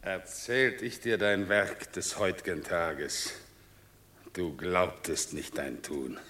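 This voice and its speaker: An actor playing a solemn, generous Roman general in a classical verse radio drama, declaiming ceremoniously. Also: male voice, deep voice, lively intonation, slow pace, bright, forceful tone, heavily acted. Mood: solemn praise.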